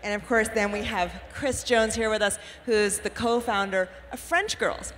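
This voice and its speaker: A woman's voice: mid-range voice, moderate pace, fairly bright tone. A woman moderating a conference panel, introducing guests and asking upbeat questions. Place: conference stage in a large hall. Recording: hall reverb, faint ambience.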